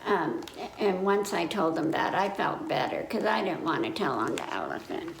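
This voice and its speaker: A woman's voice: on the low side, big pitch swings, moderate pace, vocal fry. Woman reading to children, roomy echo. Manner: simple child-directed speech with questions to listeners. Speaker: children's library storyteller. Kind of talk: interactive read-aloud story time for young children. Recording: roomy echo, faint background noise.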